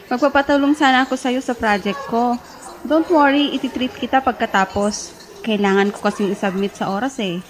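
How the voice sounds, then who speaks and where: A woman's voice: fairly high pitch, normal speed, lightly acted, rough recording. A woman playing a flirty, cutesy college classmate in a Filipino radio drama, coy and sugary.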